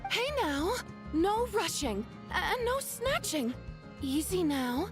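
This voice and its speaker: The eager, fussy little villager who pipes up in an anime game town, very high over background music. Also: female voice, very animated, slow, heavily acted. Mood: bossy, fussy. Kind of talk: anime-style video game dialogue.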